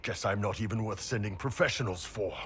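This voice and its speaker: An actor playing a big, gruff horned mercenary warrior, blunt and wry, in a video game cutscene. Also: male voice, fairly low pitch, brisk, slight background noise, natural voice. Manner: blunt, wry, swearing soldier.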